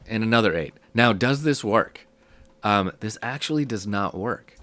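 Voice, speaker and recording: A man who is sick, his voice low and rough, teaching a casual music theory lesson and thinking aloud with frequent ums. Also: expressive, moderate pace, a bit bright, no room sound.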